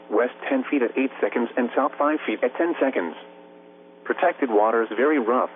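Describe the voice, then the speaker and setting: A synthetic-sounding American man reads a scripted weather bulletin as a radio announcer, in a formal, impersonal, measured way. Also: mid-range voice. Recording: narrow-band weather radio broadcast, faint hum.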